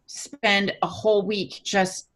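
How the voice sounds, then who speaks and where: A woman's voice: medium pitch, level tone, takes it slowly, a bit bright, poor audio. A woman co-hosting a podcast, chatting casually and personally in an agreeable way. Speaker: podcast co-host and writer.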